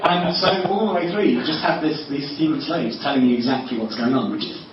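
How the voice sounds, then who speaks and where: A man speaking as a guest writer on a panel, telling anecdotes in a chatty, wry way with halting, repeated words. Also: on the high side. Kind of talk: anecdotal panel interview talk. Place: indoor convention panel room. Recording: noisy echoey room, distant mic, muffled.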